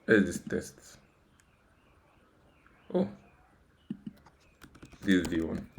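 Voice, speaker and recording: Casting: man, low-pitched voice, informal tech tutorial host who thinks aloud and repeats himself. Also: big pitch swings, very slow, a little hiss or hum. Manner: rambling, self-deprecating, repeats words and phrases. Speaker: tutorial host, software developer. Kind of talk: casual, unscripted coding screencast walkthrough.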